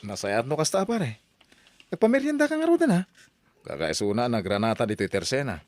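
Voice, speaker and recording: A man voicing a calm, advising family man and father in an audio drama. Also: medium pitch, a little fast, close to an everyday voice. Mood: friendly. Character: steady older family man, friend and father.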